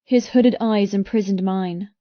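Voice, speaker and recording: A woman reading first-person romance fiction as an audiobook narrator, with intense, expressive delivery. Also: mid-range voice, unhurried, no echo, muffled recording.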